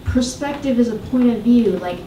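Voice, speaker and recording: A woman giving a poetry reading, reciting with deliberate, dramatic phrasing and emphatic lists. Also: a little high, dark tone. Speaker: poet. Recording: echoey room, distant mic, faint noise.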